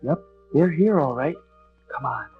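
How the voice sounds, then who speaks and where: Excitable young hero, high-pitched. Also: male voice, measured pace, phone-quality sound, faint music behind. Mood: wary. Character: earnest, excitable young man, determined small-town hero. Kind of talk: read-along storybook drama acting.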